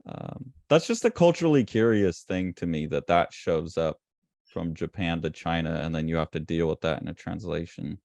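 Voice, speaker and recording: A man with a very low voice chats about art in a loose, excited way, full of fillers and quick restarts. His voice sits close and dry, with no room around it. He sounds like a co-host trading thoughts with a friend. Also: creaky, no room sound. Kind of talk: casual art discussion.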